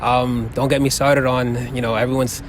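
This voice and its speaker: A man's voice: mid-range voice, normal speed. A young man, chatting animatedly in a street interview outdoors. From the Bronx, New York. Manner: animated storyteller, laughs often. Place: outdoor plaza, city park. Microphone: handheld phone held to him. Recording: faint outdoor city noise.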